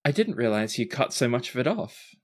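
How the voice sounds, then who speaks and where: An earnest husband in a tabletop game , as a game master plays him. He speaks at a medium pitch and tries hard to sound sincere. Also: very animated, brisk, darkish tone. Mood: mildly puzzled. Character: smooth-talking husband, startled and trying to sound sincere.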